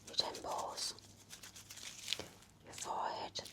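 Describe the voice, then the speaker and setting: A woman whispering in a gentle, soothing, encouraging way as she role-plays an attentive nurse for ASMR. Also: slow. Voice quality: breathy whisper. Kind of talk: whispered ASMR medical exam roleplay.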